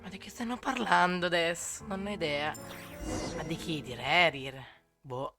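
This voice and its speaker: A man's voice: on the high side, moderate pace. A man with an Italian accent giving casual gameplay commentary as a streamer, musing aloud to himself. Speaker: game streamer. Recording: game music playing behind.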